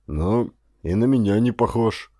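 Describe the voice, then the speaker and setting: Man acting a hearty, proud father, lowish voice. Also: a little slow, dark tone, no room sound, clean studio sound. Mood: admiring, teasing. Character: proud, hearty middle-aged father talking to grown son. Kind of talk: audiobook character dialogue.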